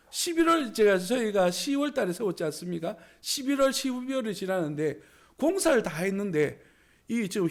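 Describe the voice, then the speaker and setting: A male pastor preaching a sermon in a small church, hoarse from a cold, with emphatic rising exclamations. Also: sits high.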